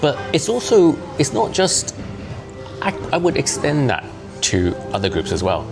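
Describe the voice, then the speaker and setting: A man's voice: a little high, a little slow, creaky, music in the background. A man in his fifties whose first language is Cantonese, chatting casually to camera and often acting out other people's voices. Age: 50s. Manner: chatty, animated, often acts out quoted voices. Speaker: vlogger.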